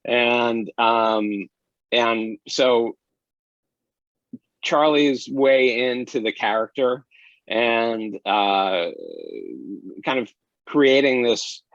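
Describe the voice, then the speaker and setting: A man's voice: mid-range voice, drawn-out words, pauses often, a bit bright, dry recording. A man speaking as a podcast guest, a director chatting casually in an interview over slightly dull audio.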